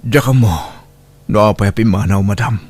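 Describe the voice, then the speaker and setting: A man's voice: lowish voice, fairly even intonation, normal speed, a little mellow, lightly acted. A man with an Ilocano Filipino accent playing a humble, distressed young father left alone with a baby, in audio drama style, hesitant and pleading. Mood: humble, troubled. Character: distressed young single father, humble, abandoned by his partner.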